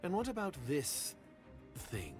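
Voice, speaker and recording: An actor voicing a suspicious, disdainful male secret agent in a video game, formal and guarded, with a hushed, breathy edge. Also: high-pitched, huge pitch swings, music in the background, heavily acted. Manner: measured, formal, guarded and condescending.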